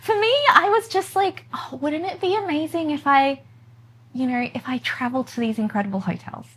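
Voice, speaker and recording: A woman's voice: high-pitched, brisk, a bit bright, a little hiss or hum. Upbeat woman. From born in China, raised in Australia. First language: Mandarin. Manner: upbeat, laughs often, dreamy and enthusiastic. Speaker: podcast guest, content creator. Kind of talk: casual interview conversation.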